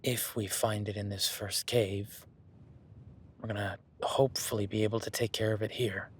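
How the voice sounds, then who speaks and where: A man's voice: lowish voice, level tone, moderate pace, slight background noise, close to an everyday voice. A man playing an easygoing, seasoned cowboy rancher in an audio roleplay, talking to the listener in a folksy, plainspoken way. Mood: cautious.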